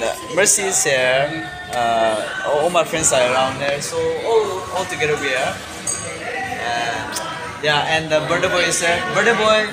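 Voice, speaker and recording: A young man chatting casually and jokingly into a phone held at arm's length, rambling with lots of fillers. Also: a little high. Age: young adult. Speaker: vlogger. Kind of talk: casual vlog chat. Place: car, then a restaurant.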